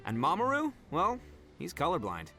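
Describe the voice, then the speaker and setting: An actor playing a brash, big-hearted young oni gang leader in a video game, with a cocky, loud, dramatic delivery. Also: male voice, fairly high pitch, huge pitch swings, moderate pace, soft background music, full character voice. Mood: easygoing, proud.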